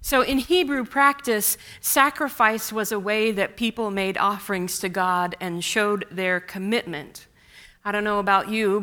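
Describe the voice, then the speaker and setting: A woman pastor giving a sermon, unhurried and measured, with wry asides, speaking English as a second language after Russian. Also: medium pitch, sharp tone.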